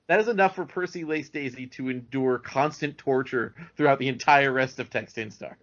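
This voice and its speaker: A man's voice: medium pitch, normal speed, fairly bright tone. Man chatting on a lo-fi podcast. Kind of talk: casual chat, cartoon commentary. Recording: dull lo-fi audio.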